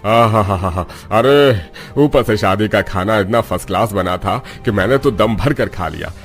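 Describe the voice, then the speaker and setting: A man's voice: lowish voice, normal speed, faint music behind, lightly acted. A man voicing an overfed, lazy householder who groans and exclaims, in a cartoon story. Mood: sated, sleepy.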